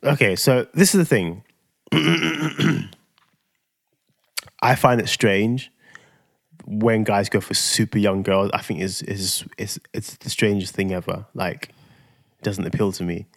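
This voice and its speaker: A man's voice: on the low side, slow, vocal fry, long pauses. A man, co-hosting a casual podcast chat, musing in a laid-back way with lots of restarts. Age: 30s. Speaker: podcast co-host.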